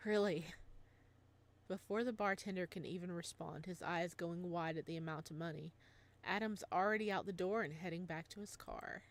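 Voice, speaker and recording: A woman's voice: lowish voice, a little fast. A woman playing a game on her channel, reading its story aloud as dramatic narration mixed with casual commentary.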